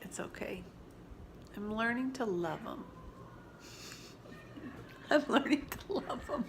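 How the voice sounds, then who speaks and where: A woman in her forties, stuffed up from allergies, talking softly and confidingly in a personal vlog, sometimes dropping to near-whispers. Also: a little high, expressive, slow, a little hiss or hum. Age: 40s. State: congested from allergies. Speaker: vlogger. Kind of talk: reflective personal vlog talk. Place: sitting indoors at home.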